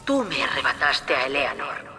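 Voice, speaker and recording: A woman's voice: rumbling low, sing-song, normal speed, sharp tone, full character voice. A woman playing a bitter, hostile neighbourhood matriarch in a video game, accusing in manner. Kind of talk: video game character acting. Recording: long reverb, faint music behind.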